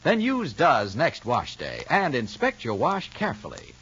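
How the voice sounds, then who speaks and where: A man's voice: medium pitch, wildly swooping pitch, a little slow, fairly bright tone, slight background noise. A male radio announcer narrating an old soap and reading ads emphatically, on a lo-fi vintage recording. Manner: emphatic, salesman-like.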